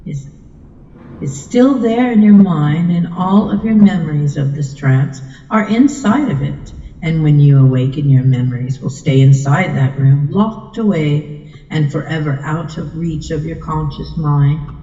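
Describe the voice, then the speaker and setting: A woman delivers a hypnosis script in a very dark, mellow voice. She coaxes soothingly, repeating her suggestions as in ASMR. Her voice is muffled and swims in long, echoing reverb. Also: low voice, measured pace. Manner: soothing, coaxing, repetitive trance patter.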